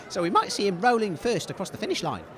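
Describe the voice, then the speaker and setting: A man playing an excitable, good-natured comic sports commentator, enthusiastic but hesitant and easily flustered. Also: high-pitched, a little fast, crowd noise. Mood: cheerful announcing. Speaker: sports commentator and radio co-host.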